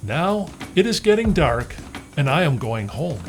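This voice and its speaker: A man's voice: fairly high pitch, sing-song, unhurried, a little hiss or hum. A man voicing a clever, mischievous male monkey in a storybook, taunting and wary. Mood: wary, knowing. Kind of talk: storybook character voice.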